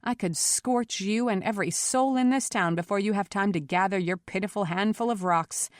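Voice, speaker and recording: A woman voicing a proud female mystic in an audiobook, cool, forceful and scornful. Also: mid-range voice, moderate pace, no room sound, clean studio sound, lightly acted. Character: proud defiant female mystic facing down a mob. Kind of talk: audiobook character voice.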